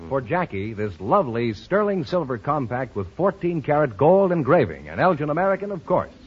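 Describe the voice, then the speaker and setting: A man's voice: a little high, big pitch swings, a little fast, breathy edge, lo-fi sound. A man announcing a 1950s radio show in a scripted style, heard on an old broadcast recording.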